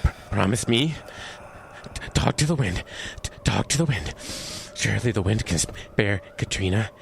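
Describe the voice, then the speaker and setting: An agitated man pleading for his lover , acted by a man, stammers through the cold with a gravelly creak. His pitch swings widely in this audio drama, over faint noise. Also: lively intonation, normal speed. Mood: desperate pleading.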